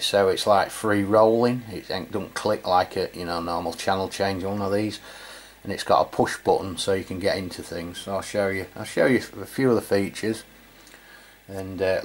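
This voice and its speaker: A man giving an informal, matter-of-fact product review as a channel host. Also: on the low side.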